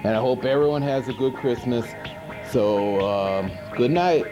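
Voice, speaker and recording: A man's voice: mid-range voice, unhurried, muffled recording, natural voice. A man playing a grumpy, dim monster who hosts a TV horror-movie show, rambling and complaining in exasperated disbelief. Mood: resigned, signing off. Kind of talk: rambling comedic hosted segment. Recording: 1980s VHS TV recording with music.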